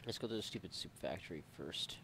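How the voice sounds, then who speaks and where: A man's voice: low-pitched, animated, fast. A man giving casual, sarcastic, sweary video game commentary with mock exasperation. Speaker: video game let's-player. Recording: faint game noise behind voice.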